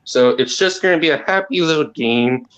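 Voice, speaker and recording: A man with an accent, talking quietly and haltingly in a casual call discussion as the host, rambling and restarting his sentences. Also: on the high side, smooth, low-quality recording. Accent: non-native or regional accent. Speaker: channel host.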